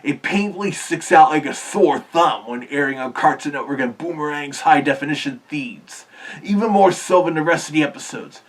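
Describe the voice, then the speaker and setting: A man's voice: a little high, moderate pace, distant mic. A man hosting a cartoon history video, earnestly explaining in scripted narration.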